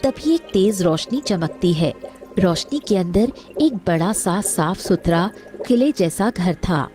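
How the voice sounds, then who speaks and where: Woman narrator for a cartoon story, lowish soft dark tone, music under it. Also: animated, takes it slowly.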